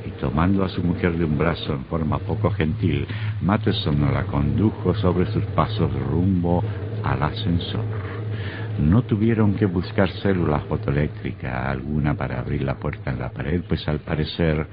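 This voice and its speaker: A man's voice: rumbling low, moderate pace. A male actor narrating a vintage radio horror drama in a grave, ominous, scripted style. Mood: suspenseful. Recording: muffled, very noisy old radio recording.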